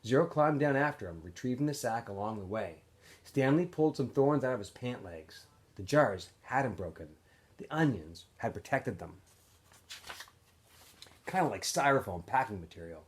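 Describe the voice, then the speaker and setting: A man with a medium-pitched voice narrates a novel for students. His intonation swings widely as he tells the story in an animated way, often letting the ends of phrases drop away softly. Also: moderate pace. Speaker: teacher reading a novel aloud for students. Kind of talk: expressive audiobook-style read-aloud narration.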